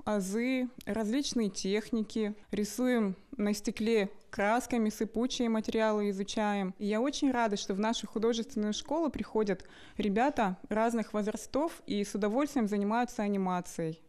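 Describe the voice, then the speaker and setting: A woman's voice: medium pitch, normal speed, darkish tone. A woman who teaches children, talking in an explanatory interview style about her work. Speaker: children's animation studio teacher.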